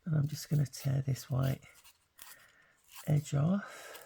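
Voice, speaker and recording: An older woman, croaky with hay fever, chatting casually as she works through a craft tutorial. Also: deep voice, slow, slight background noise. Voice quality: gravelly. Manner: chatty, relaxed, thinking aloud. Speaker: craft channel host.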